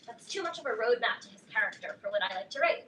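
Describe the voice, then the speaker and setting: A woman's voice: fairly high pitch, animated, fast talker, sharp tone. A woman in a staged reading playing an earnest, independent-minded, mildly defensive teenage girl writer. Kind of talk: staged reading of a play, naturalistic dialogue. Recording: roomy, distant mic, faint noise.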